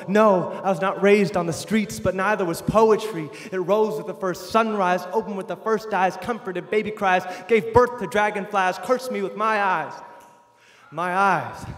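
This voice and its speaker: A young man performing a spoken word poem with a rhythmic, rhyming delivery and shifting loudness. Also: high voice. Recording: echoey hall.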